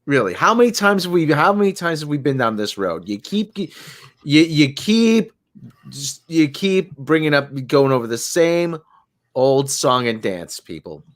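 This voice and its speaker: A man's voice: on the high side, very animated, unhurried, fairly bright tone. A man on a casual talk panel, sputtering and repeating himself in exasperation.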